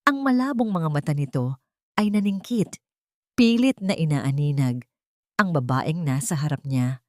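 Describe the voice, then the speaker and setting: A woman's voice: low-pitched, lively intonation, measured pace, darkish tone, no echo, clean studio sound. A woman with a Filipino accent reading a dramatic scripted story as an audiobook narrator.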